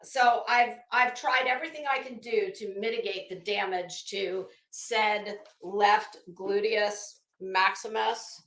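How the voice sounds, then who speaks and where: A woman's voice: medium pitch, takes it slowly, hardly any pauses, a bit bright, reverberant, low-quality recording. A middle-aged woman hosting a casual livestream, rambling through stories in a sassy, wry, profane way. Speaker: livestream host.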